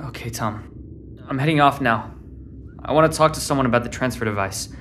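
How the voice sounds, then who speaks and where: A man's voice: mid-range voice, a little fast, a bit bright, slight background noise, natural voice. A man playing an anxious, defensive teenage boy stranded and searching, in an audio fiction drama. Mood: worried, searching. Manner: hesitant, stammering when challenged. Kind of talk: audio fiction drama acting with spoken thoughts. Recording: voice over prominent music bed. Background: dramatic music.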